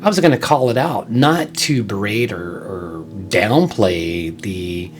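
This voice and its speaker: A man with a fairly low-pitched voice talks as the host of a casual solo show. His delivery is unscripted and conversational. He halts and repeats words as he goes, then puts emphasis on his key points. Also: takes it slowly, faint music behind. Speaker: music show host and reviewer.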